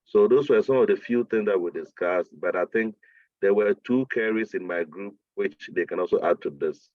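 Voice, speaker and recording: Ghanaian man, deep voice, tinny line. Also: moderate pace, no echo. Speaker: meeting participant. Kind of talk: discussion contribution.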